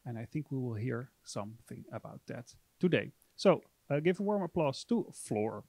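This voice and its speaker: A man's voice: mid-range voice, sing-song, moderate pace, gravelly, noisy background. A man in his thirties hosting a university lecture, speaking hesitantly with many fillers into a handheld mic in a library. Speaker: host. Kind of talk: introducing a public lecture.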